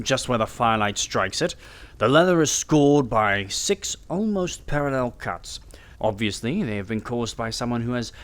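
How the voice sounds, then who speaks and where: Audiobook detective, male, acted out: brilliant and self-assured, mid-pitched with lively swings, precise and didactic. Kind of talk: audiobook character acting.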